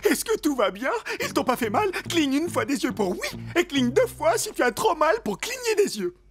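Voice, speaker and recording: A woman's voice: high voice, very animated, normal speed. A woman voicing an anxious, naive wild boar in a children's cartoon, overwrought and melodramatic. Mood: worried. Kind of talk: French animated cartoon dub acting. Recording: loud music behind the voice.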